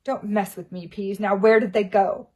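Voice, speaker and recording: Irritable old caretaker, impatient and demanding, husky edge, read by a woman. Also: mid-range voice, little pitch movement, moderate pace, darkish tone, natural voice.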